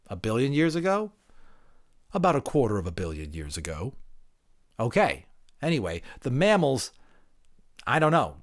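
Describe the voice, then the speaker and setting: Guy playing unsure student dude in an audiobook, kinda defensive, halting, thinking out loud, voice goes up and down a lot. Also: medium pitch. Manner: halting, groping for words, thinking aloud. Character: educated male pupil, hesitant, unsure, defensive. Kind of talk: dramatic audiobook character acting.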